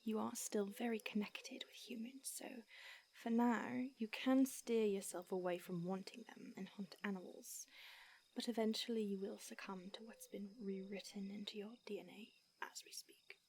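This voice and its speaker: A woman voicing a calm, centuries-old female vampire mentor in an audio roleplay, speaking in a soft, hushed whisper. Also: mid-range voice, normal speed, slight background noise, close to an everyday voice. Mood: grave.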